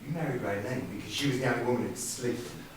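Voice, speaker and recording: A man's voice: medium pitch, racing, a little hiss or hum, natural voice. A man playing a defensive, sarcastic brother in a stage play, articulate and indignant in his delivery. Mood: scathing. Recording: very echoey hall, distant mic, faint background ambience.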